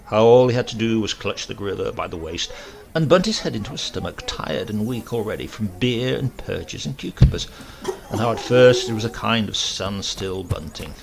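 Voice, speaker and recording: A man giving an expressive, dramatic narration of a comic short story, relishing the phrasing. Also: fairly low pitch, vocal fry, noisy background. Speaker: audiobook reader.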